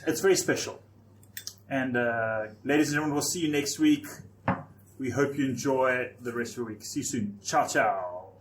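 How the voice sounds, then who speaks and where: A man's voice: mid-range voice, moderate pace, slight background noise. A man hosting a casual radio show, chatting with his guests in the studio. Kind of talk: casual chat.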